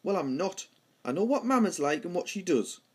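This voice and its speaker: Higher-pitched North East English man reading drama. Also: sing-song, normal speed, darkish tone, slightly rough. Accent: Houghton-le-Spring pitmatic, North East English. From north-east England. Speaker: amateur script reader. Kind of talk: dramatic dialogue read-through.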